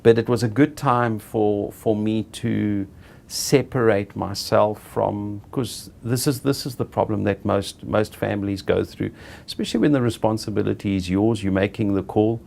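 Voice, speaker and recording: A middle-aged South African man being interviewed, telling his own story in an unhurried, halting way and often correcting himself. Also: on the low side, vocal fry, darkish tone. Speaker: interviewee, property director. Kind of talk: reflective personal storytelling.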